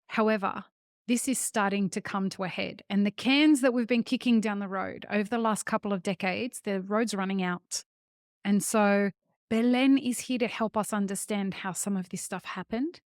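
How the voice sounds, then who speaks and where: A woman's voice: medium pitch, dry recording, clean studio sound. A woman hosting a podcast, talking to her listeners in an enthusiastic, conversational way and often calling them folks. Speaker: podcast host.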